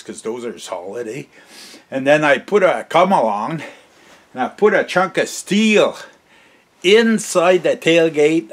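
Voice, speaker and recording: A Canadian man in his sixties hosts a homemade vlog from his garage. He talks slowly at a middling pitch, halting and rambling as he spins a tale. His delivery is folksy and jovial, with sentences often tagged with a questioning little "eh". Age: 60s. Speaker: vlog host. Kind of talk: casual rambling storytelling to camera. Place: cluttered garage workshop, indoors.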